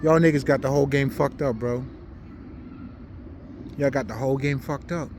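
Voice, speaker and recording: A man's voice: mid-range voice, steady tone, moderate pace, background noise. A man from Haiti, not a native English speaker, giving an unscripted, preaching monologue in blunt, profane slang with emphatic repetition. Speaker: spiritual commentator.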